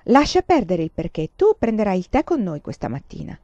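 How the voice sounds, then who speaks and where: A woman reads a strict storybook mother who scolds and lectures in a raised, clipped, impatient voice. The tone underneath is mellow and warm. It is recorded dry and a little dull. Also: mid-range voice, very animated, a little fast, no room sound, close to an everyday voice. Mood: scolding. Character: stern scolding middle-aged mother. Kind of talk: audiobook character reading.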